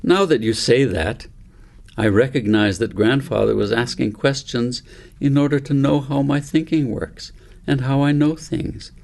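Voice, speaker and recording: A man's voice: mid-range voice, measured pace, vocal fry, darkish tone. A man voicing an earnest, precocious five-year-old girl in a storybook, telling her dreams in articulate, wordy sentences. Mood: earnest, puzzled. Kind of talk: storybook character reading.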